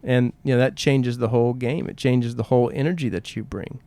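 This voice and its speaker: A man's voice: medium pitch, fairly even intonation, a little fast, mellow, dry recording. Earnest man in dry-sounding podcast conversation. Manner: earnest, poses rhetorical questions, acts out quotes. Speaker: podcast co-host. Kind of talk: reflective conversational podcast talk.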